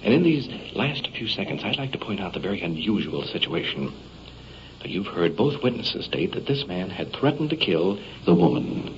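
A man narrating a documentary as a radio reporter on the scene. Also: low-pitched. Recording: dull, very noisy old radio recording.

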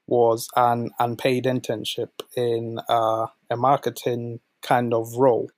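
A man's voice: mid-range voice, no echo. A man in his late twenties from Zambia who moved to the UK as a boy, answering interview questions conversationally. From born in Zambia, moved to the UK at twelve. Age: late 20s. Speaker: podcast guest, volunteer manager.

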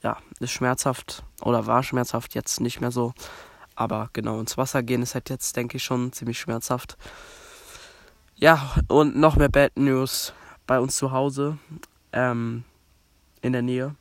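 A man's voice: medium pitch, little pitch movement, measured pace, dry recording. A young man from Germany with a cold, hosting a podcast in a rambling casual monologue, full of fillers and restarts. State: has a cold. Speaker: podcast host.

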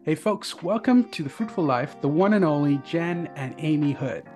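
Soft-spoken podcast host with an Indian accent. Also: male voice, on the high side, animated, moderate pace, soft background music. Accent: Indian English. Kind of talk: casual interview.

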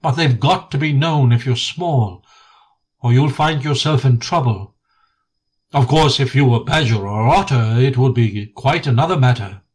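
A man's voice: medium pitch, unhurried, a little mellow, slightly rough. A man doing a kindly, hearty water rat. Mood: soothing. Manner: soothing, hearty, reassuring.

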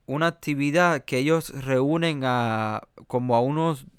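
Smooth-voiced Cuban pianist, halting and chatty. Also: male voice, medium pitch, no echo, studio quality. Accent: Cuban Spanish. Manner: hesitant, many fillers and restarts. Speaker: jazz pianist guest. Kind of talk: conversational interview.

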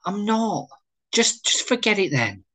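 Sheepish male suitor for a drama read-through, acted by a man, hesitant and pleading, very animated intonation. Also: fairly high pitch, moderate pace, slightly rough, exaggerated voice, low-quality recording. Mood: hurt. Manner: hesitant, wheedling, easily flustered. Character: hopeful, sheepish suitor pleading with his lover. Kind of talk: amateur script read-through, acted dialogue.

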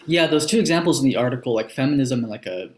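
A man's voice: mid-range voice, expressive, fast. A man from Hawaii chatting casually as a guest, easygoing and playful as he explains his ideas. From Hawaii, USA. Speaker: interview guest.

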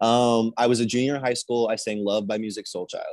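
A man talking about his singing in a casual conversation, soft-spoken and self-deprecating, with plenty of fillers. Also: medium pitch, fairly even intonation, quick pace, no echo. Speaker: singer guest.

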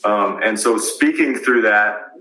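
A man talks through his year in a reflective, halting way. His low voice has a forceful, bright edge. He sounds distant from the mic, in an echoey room. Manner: reflective, halting with fillers and restarts. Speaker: university teacher. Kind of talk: casual team chat.

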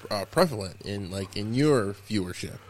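A man's voice: fairly low pitch, lively intonation, moderate pace. A man in his thirties co-hosting a podcast, talking loosely and casually with slang and plenty of fillers. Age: 30s. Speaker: podcast co-host. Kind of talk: casual chat.

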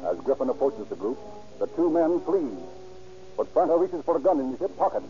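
A man narrating a 1930s radio crime drama in a measured, declamatory way. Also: a little high, big pitch swings, warm tone. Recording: muffled old radio recording over music.

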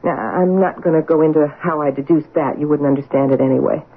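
An actress playing an earnest, insistent amateur handwriting sleuth in a radio mystery drama, with a husky voice and deliberate delivery. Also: female voice, sits low. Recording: tinny old radio broadcast.

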